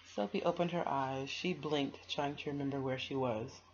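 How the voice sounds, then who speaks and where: Low-voiced woman reading a children's storybook. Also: moderate pace. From United States. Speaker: storytime host reading aloud. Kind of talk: children's storybook narration with casual asides. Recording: dull, noisy home recording.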